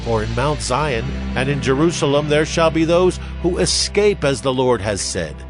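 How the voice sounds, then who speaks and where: An actor playing a solemn Hebrew prophet in a dramatized scripture reading, declaiming with grave emphasis. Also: male voice, medium pitch, lively intonation, moderate pace, natural voice. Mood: solemn, proclaiming. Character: solemn male Hebrew prophet proclaiming judgment and promise. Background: music.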